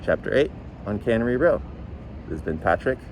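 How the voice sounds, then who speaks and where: A man's voice: fairly low pitch, animated, brisk, poor audio. A man reading a novel aloud in audiobook style, as a volunteer narrator. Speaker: volunteer reader. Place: outdoors on a city street. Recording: faint outdoor street and traffic noise. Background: passing vehicles, faint street ambience.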